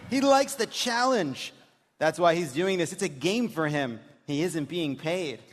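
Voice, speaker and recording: A candid, jaded daughter, read out by a high-voiced man, airs her scorn in dry, deliberate phrases. It is a comic stage reading. The big hall adds long reverb and a little noise. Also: lively intonation. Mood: scornful. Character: politician's adult daughter texting, candid, jaded, dryly scornful. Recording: reverberant theater, some noise.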